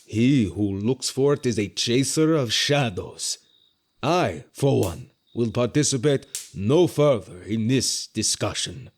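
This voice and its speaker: Stern pragmatic doubter, audio drama, slow, solemn, formal, dismissive, mid-range voice. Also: male voice, mid-range voice, animated, darkish tone. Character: dismissive, pragmatic male sceptic, stern and worldly.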